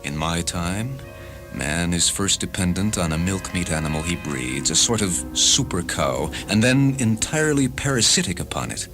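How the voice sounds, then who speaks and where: Deep, grandiose visitor from the far future. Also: male voice, expressive. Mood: insistent, confiding. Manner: grandiose, deliberate, relishing suffering. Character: parasitic time traveler from the far future inhabiting a man, fervent, eloquent. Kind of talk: radio drama acting over music. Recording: voice over a music bed. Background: clear background music.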